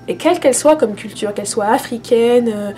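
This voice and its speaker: An earnest woman talks straight to her listeners in a fairly high voice, warm in intent and reassuring. She often stumbles, repeats a word and starts again as she thinks aloud. Soft music plays faintly underneath. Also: fairly quick. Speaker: spiritual vlogger. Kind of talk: casual spoken monologue to camera.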